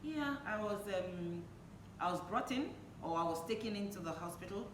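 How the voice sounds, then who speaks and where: A woman's voice: mid-range voice, expressive, moderate pace. A woman speaking as an interview guest, sharing personal testimony in conversation. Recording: echoey room, distant mic, noisy background.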